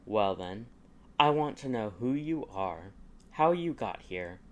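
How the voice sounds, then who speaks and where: A man's voice: lowish voice, animated, takes it slowly. A man voicing a haughty, impatient young aristocratic wizard father in an audiobook, with a dry, formal, interrogating manner. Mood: sardonic. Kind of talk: audiobook character dialogue.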